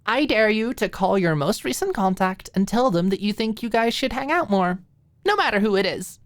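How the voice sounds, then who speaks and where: A woman's voice: medium pitch, animated, normal speed, no room sound, voice pushed up, close to an everyday voice. A woman voicing a bubbly, tipsy, teasing young woman in audiobook dialogue, with a giggly, playful manner. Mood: playful, daring.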